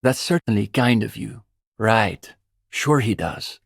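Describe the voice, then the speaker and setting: A man's voice: fairly low pitch, takes it slowly, creaky, breathy edge, no room sound, clean studio sound, heavily acted. An actor playing an earnest young male student communist in a video game, intellectual, opinionated and dryly pedantic. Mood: earnest, explanatory. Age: young adult. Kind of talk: video game dialogue voice acting.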